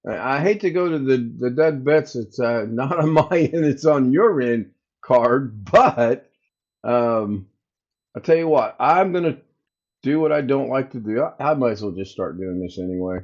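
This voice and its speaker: A man with a booming voice hosts a relaxed livestream, rambling to his viewers as he works out technical problems on the fly. His voice sits at an ordinary middle pitch, and the sound is a little dull and muffled. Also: normal speed. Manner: rambling, thinks aloud while fixing tech. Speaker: livestream host. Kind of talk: casual live chat with viewers.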